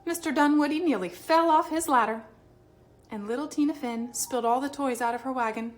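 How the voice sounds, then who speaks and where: A woman reading a children's picture book aloud expressively, with dramatic emphasis and pauses. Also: high voice, soft background music. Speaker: read-aloud storyteller.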